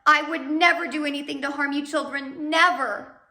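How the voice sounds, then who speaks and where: Storybook woman judge voiced by a female reader, distressed, pleading, bright voice, echoey room. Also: high voice, fairly even intonation, normal speed, voice pushed up. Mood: regretful.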